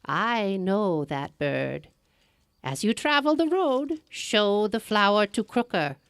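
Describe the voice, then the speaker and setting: A woman playing a mysterious, kindly old woman in a folktale, speaking deliberately and with foreboding. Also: mid-range voice, expressive, dry recording, clean studio sound. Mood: mysterious, cautioning.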